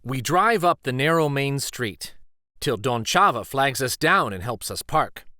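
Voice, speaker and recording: Bright, animated male storyteller, unhurried. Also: medium pitch, dry recording, studio quality. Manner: deliberate, animated storytelling delivery. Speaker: children's read-aloud storyteller. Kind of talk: expressive picture book narration.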